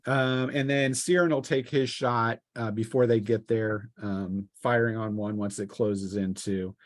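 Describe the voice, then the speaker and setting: A man acting as game master, explaining rules and turns in a casual, halting way. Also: fairly low pitch, steady tone, no room sound. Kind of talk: casual tabletop game refereeing.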